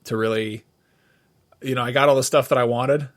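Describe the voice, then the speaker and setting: A man's voice: medium pitch, steady tone, moderate pace. A man co-hosting a podcast, chatting casually and informally about the past, with some swearing. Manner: informal, profane. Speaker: podcast co-host. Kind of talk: casual chat, reminiscing about music.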